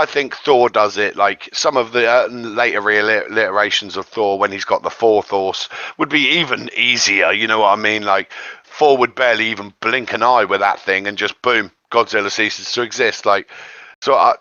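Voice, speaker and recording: A man with a bright, forceful voice talks casually as a co-host of a geek discussion show. He rambles through emphatic opinions in an informal way. The recording is dry and slightly lo-fi. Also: lowish voice, no room sound. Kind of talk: casual chat about comic-book fiction.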